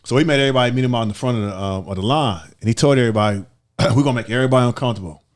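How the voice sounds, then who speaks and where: A man's voice: medium pitch, racing. A man chatting casually in a group, repeating short phrases for emphasis. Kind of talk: casual group chat, anecdotes.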